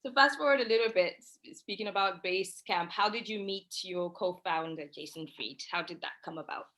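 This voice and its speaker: A woman's voice: on the low side, a little fast, fairly bright tone. A woman interviewing a guest, asking short questions over a call. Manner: brief encouraging backchannels.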